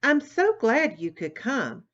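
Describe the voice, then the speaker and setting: A woman's voice: big pitch swings, slow, highs cut off. A woman doing a bragging little turtle girl. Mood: sweet bragging. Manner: boastful, shrill when angry.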